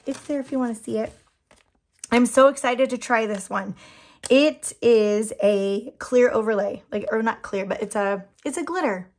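A woman's voice: fairly high pitch, moderate pace. A woman chatting casually while doing her nails, her voice a bit worn from losing it, rambling with frequent ums and little laughs. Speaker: nail product seller and channel host. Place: indoors at a tabletop.

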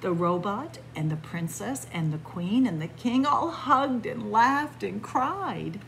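A woman reading a picture book aloud as a library story time host, expressive and pausing deliberately between phrases. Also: medium pitch, gravelly, a little hiss or hum, rough recording. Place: indoors in a library, among bookshelves.

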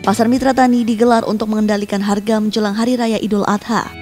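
A woman reporter reading a scripted news voice-over in an even, formal manner. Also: mid-range voice, fast talker, music in the background.

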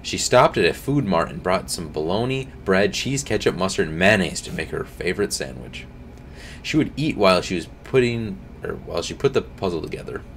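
A young man reading a scary story aloud at home, tripping over words now and then and adding quiet asides. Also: low voice, animated, fairly quick, slight background noise. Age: young adult. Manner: reads with slips and restarts, drops to quiet asides. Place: home streaming room, indoors.

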